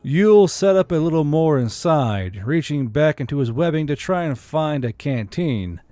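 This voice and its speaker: A man's voice: fairly high pitch, animated, moderate pace, dark tone, dry recording. A man reading scripted fantasy fiction as an audiobook narrator.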